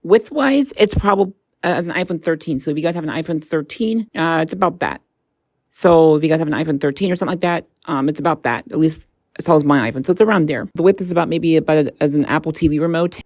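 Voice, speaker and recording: Low-pitched casual woman reviewer, close dry sound. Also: fast, a little mellow, dry recording. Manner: informal, tentative, thinking aloud. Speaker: gadget reviewer and presenter. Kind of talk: casual hands-on product review.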